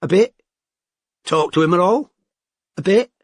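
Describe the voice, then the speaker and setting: A man voicing a cocky, smug man with a husky voice, in an audiobook, blunt and slangy. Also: high voice, expressive, measured pace, dry recording, voice pushed up. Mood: offhand. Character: cocky working-class English man, chatty, smug. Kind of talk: audiobook character voice.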